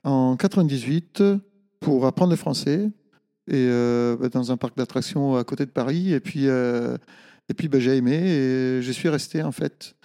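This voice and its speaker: A middle-aged man from Italy, a native Italian speaker, answering casually in an interview with halting, hesitant phrasing. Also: medium pitch, mellow, long reverb tail. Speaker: interview guest, ultra-trail runner.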